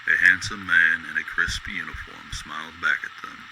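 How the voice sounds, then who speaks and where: A man narrating a scripted radio drama in a deliberate, descriptive storytelling style. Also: low-pitched, fairly even intonation, pushed and bright, a little hiss or hum, low-quality recording.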